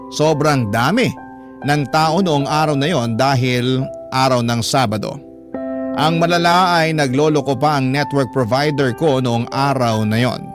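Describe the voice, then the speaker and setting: Guy narrating a radio love story, mid-pitched, music playing behind him. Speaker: narrator telling a letter-sender's love story. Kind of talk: first-person scripted radio drama narration.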